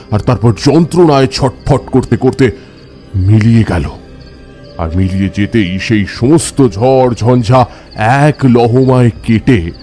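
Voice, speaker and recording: Man as mellow-voiced tantric ascetic telling his tale. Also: medium pitch, lightly acted. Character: devout male tantric ascetic recounting his eerie encounters. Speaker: first-person narrator. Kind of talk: dramatic scripted horror narration.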